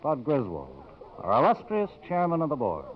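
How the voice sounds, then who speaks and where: Arch, quietly rebellious male academic, theatrical and lively, vintage radio style, dull recording. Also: mid-range voice, normal speed, slight background noise. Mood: bitterly amused. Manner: arch, florid. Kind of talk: 1950s radio drama acting. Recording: muffled old radio recording, background music.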